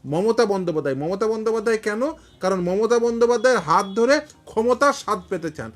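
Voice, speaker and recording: Fast-talking West Bengal debate commentator. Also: mid-range voice, animated, faint music behind. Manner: asks and answers own questions, insistent. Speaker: panel commentator.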